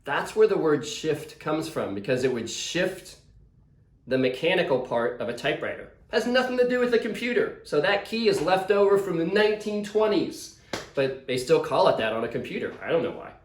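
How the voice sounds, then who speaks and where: A man's voice: a little high, big pitch swings, moderate pace. A man speaking as a visiting author, casually and enthusiastically explaining things to schoolchildren over a video call. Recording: roomy, echoey video call.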